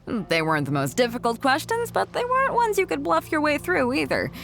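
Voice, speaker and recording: A woman's voice: sits high, huge pitch swings, brisk, gravelly, fairly bright tone, exaggerated voice. A woman playing a confident, teasing female ship captain in a video game, breezy and self-assured. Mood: confident, explanatory. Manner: breezy, playful, self-assured, chuckles while talking. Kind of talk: video game character dialogue.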